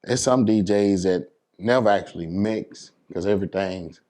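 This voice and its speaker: A man with a low voice and an American accent chats casually in an interview. He explains his craft as a working DJ and often checks that the listener follows. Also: moderate pace, warm tone. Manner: explanatory, repeats "you know what I'm saying". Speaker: DJ and entertainer being interviewed.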